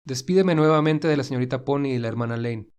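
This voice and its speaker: A man playing a gentle, devoted young gentleman in an audio storybook, speaking calmly and tenderly. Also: medium pitch, fast, smooth, close to an everyday voice. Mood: tender, teasing. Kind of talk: audio storybook character dialogue.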